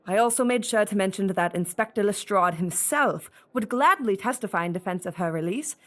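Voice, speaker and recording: A woman's voice: mid-range voice, lively intonation, fairly quick, fairly bright tone, close to an everyday voice. A woman playing a firm, proper Victorian lady in an audio drama, speaking formally and articulately. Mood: earnest. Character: firm, proper protective Victorian woman, exasperated older sister. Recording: music under the voice.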